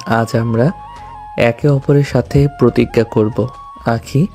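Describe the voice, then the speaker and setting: Man acting a tender, mellow young groom. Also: medium pitch, fairly even intonation, slow, faint music behind. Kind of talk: audio love story acting.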